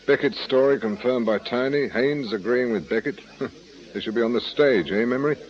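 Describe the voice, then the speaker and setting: An actor playing a shrewd, dry police inspector with a wry, probing manner in a radio crime drama. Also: male voice, medium pitch, normal speed, natural voice. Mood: wry, deliberate. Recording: muffled, noisy radio drama recording.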